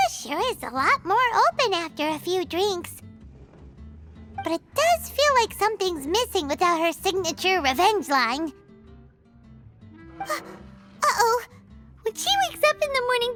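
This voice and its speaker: A tiny fairy sidekick chatters away in a squeaky, very high voice that leaps all over the place. She is bubbly, fussy and excitable, and talks about herself in the third person. Game music plays clearly behind her. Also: a little slow, long pauses, full character voice. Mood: teasing. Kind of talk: game cutscene voice acting. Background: background game music.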